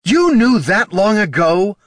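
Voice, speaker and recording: A man voicing an anguished, furious female elf ranger with a fraught, halting manner in a fantasy audiobook. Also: sits high, big pitch swings, dry recording, studio quality, pitched above the performer's own voice. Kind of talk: fantasy audiobook character dialogue.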